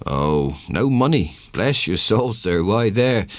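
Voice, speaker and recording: Man as rustic churchyard sexton, talkative, folksy, deferential, confiding, swooping pitch, audiobook drama. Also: very animated, takes it slowly, no room sound.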